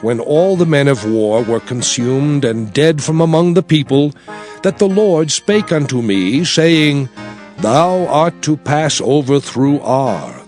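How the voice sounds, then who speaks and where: An actor playing a solemn, commanding prophet who recounts his people's journey in emphatic, declamatory scripture drama. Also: mid-range voice. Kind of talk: dramatized scripture narration, archaic English.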